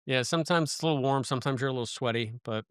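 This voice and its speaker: A man's voice: mid-range voice, rapid-fire, fairly bright tone, clean tone, no echo, studio quality. A man chatting casually as a podcast co-host, with joking asides.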